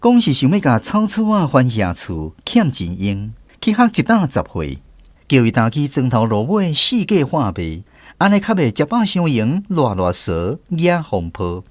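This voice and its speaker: A man narrating a radio drama in a measured storytelling way, recalling childhood memories. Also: mid-range voice, huge pitch swings, no room sound.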